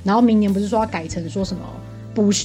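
A woman's voice: mid-range voice, quick pace, warm tone, soft background music. A woman with a Taiwanese accent chatting casually as a podcast co-host, telling stories in a talkative, exasperated way. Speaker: podcast co-host venting as a bar customer. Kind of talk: casual chat, animated storytelling.

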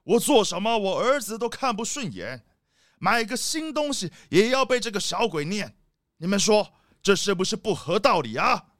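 A man's voice: high voice, very animated, moderate pace, a bit bright, no echo, studio quality. A man playing a boastful, irritable rich leopard boss in a children's audio drama, brusque and dismissive. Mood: grumbling.

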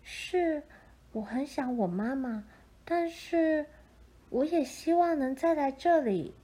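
A woman's voice: high-pitched, takes it slowly, warm tone, voice pushed up. A woman voicing a polite, sweet little girl in an earnest storybook manner. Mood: wistful.

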